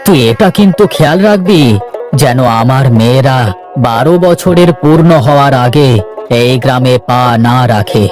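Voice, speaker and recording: A man playing an anxious village headman in an animated moral story, giving orders and warnings in a cautionary way. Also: mid-range voice, moderate pace, lightly acted. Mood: worried, cautioning. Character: anxious village headman, worried father in hiding. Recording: music behind the voice.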